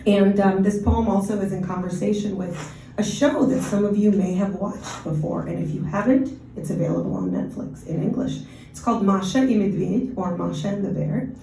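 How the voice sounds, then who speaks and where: A woman poet, from Ukraine and raised in the Washington DC area, with Russian as her first language, giving a measured, deliberate poetry reading with brief casual asides. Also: mid-range voice, gravelly, dark tone, slight background noise. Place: reading venue, roomy space. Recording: roomy live recording from a distance.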